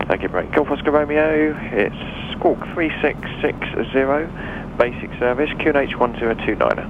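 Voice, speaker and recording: A man's voice: mid-range voice, lively intonation, moderate pace. A man working as an air traffic controller, giving clipped procedural instructions over aviation radio. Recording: muffled lo-fi radio with background noise.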